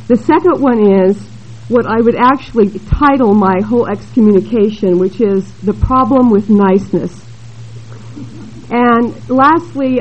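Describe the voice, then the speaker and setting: A woman with a loud voice giving a prepared talk at a conference panel, laying out her points deliberately and in order. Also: mid-range voice. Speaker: panel speaker. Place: conference room with an audience. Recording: dull lo-fi conference tape with faint hiss.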